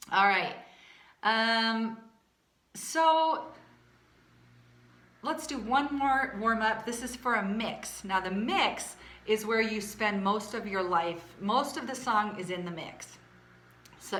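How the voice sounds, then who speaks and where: A woman teaching a vocal warm-up lesson for choir singers, chatty and casual, with a naturally low speaking voice. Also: roomy sound, slight background noise. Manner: chatty, demonstrates belting and singing.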